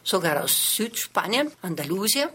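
A woman's voice: mid-range voice, sing-song, normal speed, bright, forceful tone, slightly rough. An older woman whose first language is French, born in Paris and raised in Madrid, recalling her career as a singer and voice coach in a radio interview, speaking reflectively with small hesitations.

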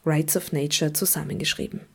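Low-voiced woman radio host. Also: steady tone, fairly quick, vocal fry, breathy edge. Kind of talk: scripted radio narration.

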